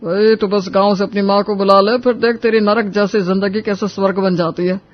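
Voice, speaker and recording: A man's voice: sits high, level tone, a little fast, close to an everyday voice. A man playing a young office worker in an audio story, talking in a colloquial, persuading way on a muffled recording. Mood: advising. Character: young office worker, weary husband or advising friend. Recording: muffled, dull lo-fi sound.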